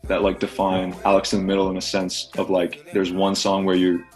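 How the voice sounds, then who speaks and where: A man hosting a casual interview, asking relaxed, conversational questions. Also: deep voice, brisk, soft background music. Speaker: interviewer host.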